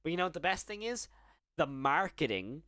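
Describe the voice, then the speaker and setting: Excitable young man, smooth dry voice. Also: on the high side, fairly quick, no room sound. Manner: excitable, restarts sentences, slight stutter. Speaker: horror YouTuber streaming live. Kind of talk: casual live-stream chat.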